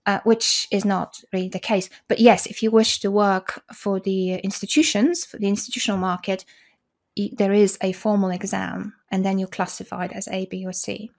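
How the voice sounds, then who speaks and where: A woman's voice: mid-range voice, flat delivery, moderate pace, vocal fry. Polish lady, 40s, talking through her job on a video call, lots of um and restarts. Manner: explanatory, frequent restarts and fillers, occasional laughs. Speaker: conference interpreter, guest. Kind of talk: casual interview over video call. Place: small room indoors. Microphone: video call, desk mic.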